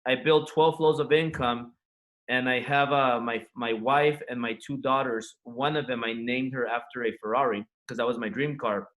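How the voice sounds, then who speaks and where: A man's voice: mid-range voice, steady tone, normal speed, a bit bright. Earnest entrepreneur telling his story. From Los Angeles, USA. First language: Spanish. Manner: earnest, frank, loose run-on telling with restarts. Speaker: entrepreneur guest. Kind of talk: interview storytelling.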